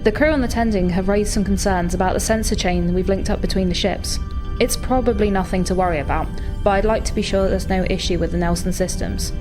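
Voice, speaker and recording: A woman playing a composed, courteous and confident starship captain in an audio role-play, speaking with polite, measured authority. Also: mid-range voice, music in the background, close to an everyday voice.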